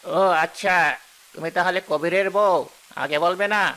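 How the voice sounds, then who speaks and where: A man voicing a stingy, gruff village headman, dismissive and haughty, in a cartoon story. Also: high-pitched, steady tone, moderate pace, bright, forceful tone. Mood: condescending.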